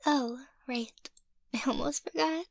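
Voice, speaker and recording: A woman playing a playful, sweet young vampire mistress in an ASMR roleplay, soft-spoken and teasing, often slipping into a whisper. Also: high voice, slow, gravelly, no room sound, full character voice. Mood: satisfied, sweet.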